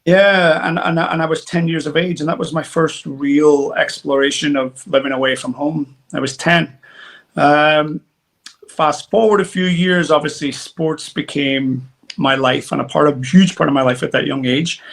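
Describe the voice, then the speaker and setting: Gravelly Northern Irish man. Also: on the high side, fairly even intonation, normal speed, a bit bright. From Derry, Northern Ireland. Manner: rambling, many fillers and restarts. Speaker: guest. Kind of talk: conversational interview.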